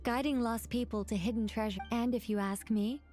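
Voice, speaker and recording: A woman's voice: on the high side, steady tone, fairly quick, a little mellow, close to an everyday voice. A woman voicing a chatty, courteous, scholarly guide in a video game, articulate, formal and playfully theatrical. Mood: polite, bright. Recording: music behind the voice.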